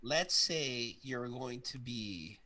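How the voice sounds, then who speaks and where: A man's voice: mid-range voice, expressive, takes it slowly, sharp tone. Guy asking wrestling questions, bouncy up-and-down voice, lots of like and you know. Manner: loose and filler-heavy, lots of "like" and "you know what I'm saying". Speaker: interviewer. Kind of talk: casual chat about wrestling.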